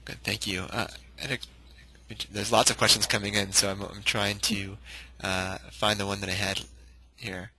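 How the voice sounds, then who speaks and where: A man's voice: lowish voice, little pitch movement, normal speed, bright, forceful tone, slightly rough, rough recording. A man moderating a church webinar, passing on audience questions and affirming answers.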